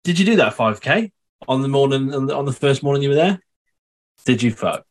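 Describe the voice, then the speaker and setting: A man's voice: mid-range voice, lively intonation, brisk. A young man co-hosting a podcast who asks teasing questions and laughs often. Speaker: podcast co-host. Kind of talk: casual chat.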